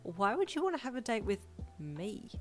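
A woman voicing an excitable, teasing young player character with a bubbly manner, in a reader-insert story. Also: medium pitch, moderate pace, faint music behind, voice pushed up, natural voice. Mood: excited.

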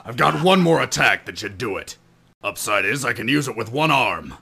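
Parody anime role: grumpy alien warrior acted by a man, deadpan, gravelly, swinging widely in pitch, hard-edged. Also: normal speed, exaggerated voice. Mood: dry. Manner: deadpan sarcasm breaking into irritated shouting. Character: grumpy, sarcastic adult male green alien warrior.

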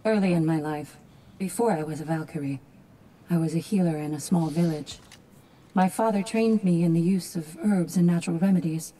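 An actress playing a composed Valkyrie warrior in a video game, telling her story in a husky, measured, reflective voice. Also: lowish voice, warm tone, slight background noise, natural voice, rough recording. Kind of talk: dramatic game narration.

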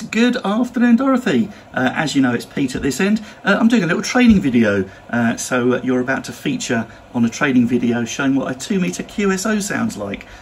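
A man's voice: mid-range voice, a little hiss or hum. A British man giving an instructional demonstration as an amateur radio operator and trainer. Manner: spells call signs in phonetic alphabet.

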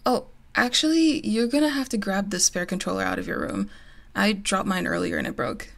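A woman's voice: mid-range voice, sing-song, brisk, vocal fry, a bit bright. A woman playing a teasing, competitive gamer best friend in a casual, giggly ASMR roleplay. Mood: relaxed, friendly. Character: playful, competitive gamer girl, listener's close best friend. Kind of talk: ASMR roleplay, casual one-sided conversation.

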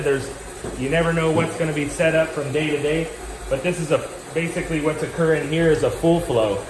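A man in his thirties leading a group through a large indoor machine shop, explaining each station as he walks, recorded on a camera a few feet away. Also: a little high. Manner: explanatory, points things out to a group. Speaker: shop tour guide. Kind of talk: walking shop tour explanation. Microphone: handheld camera from a few feet away. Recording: echoey shop with loud machine and air noise. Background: machinery and ventilation hum.

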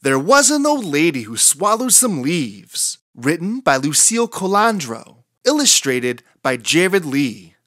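Slow, lively children's story reader. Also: medium pitch, no echo, clean studio sound. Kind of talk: read-aloud narration for young children.

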